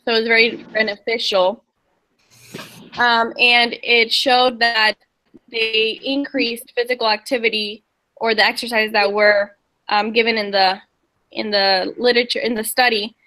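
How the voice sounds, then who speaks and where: A woman's voice: on the high side, flat delivery, measured pace, sharp tone, low-quality recording. A young woman from Indiana giving a class presentation, summarizing research articles hesitantly from her notes. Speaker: student presenter.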